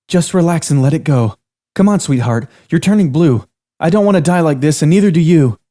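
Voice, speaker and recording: A man voicing a panicked young waitress who is trapped on a restaurant floor and shouting in a high voice. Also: expressive, brisk, natural voice. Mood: pleading. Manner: frantic high-pitched shouting.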